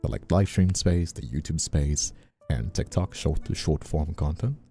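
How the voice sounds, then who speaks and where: A man's voice: a bass voice, animated, a little slow, dark tone, soft background music. A man from Brazil, a native Portuguese speaker, chatting casually as a livestream host in a rambling way. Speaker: streamer and voice actor, hosting.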